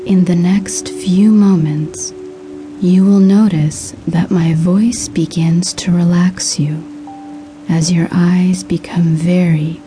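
A woman narrating a scripted guided sleep hypnosis in a soothing, hushed, breathy voice with measured pauses. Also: fairly low pitch, steady tone, faint music behind.

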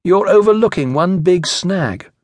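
Guy doing a worried little british schoolboy sidekick in a storybook, higher voice, doubtful and objecting, dry sound. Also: animated, unhurried, a little mellow, no room sound. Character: cautious, doubtful, peace-loving young English schoolboy sidekick.

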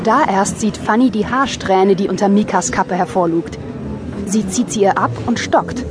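A woman's voice: mid-range voice, moderate pace, background noise. A woman narrating an audio drama in a scripted storytelling style.

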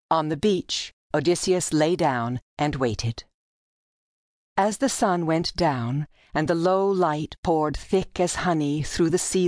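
Casting: female audiobook narrator, slightly low voice, unhurried and deliberate, close dry studio sound. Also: darkish tone, no echo. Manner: measured, deliberate phrasing. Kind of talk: scripted literary storytelling narration.

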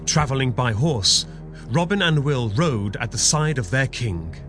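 A man's voice: mid-range voice, expressive, measured pace. A man giving dramatic scripted narration for a children's audio adventure story. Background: music.

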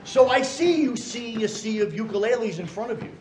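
A man playing an excitable comic MC in old vaudeville style, with playful, exclamatory patter that repeats phrases. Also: very high-pitched, a little fast, soft background music. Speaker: master of ceremonies. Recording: echoey live hall with audience noise. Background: crowd noise.

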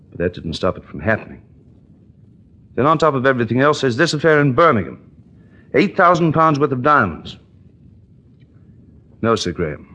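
An earnest British police inspector in a 1940s radio play. The voice is low and lively in its rise and fall, with deliberate emphasis. The old recording is dull and muffled. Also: male voice. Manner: deliberate, emphatic, deferential to his superior.

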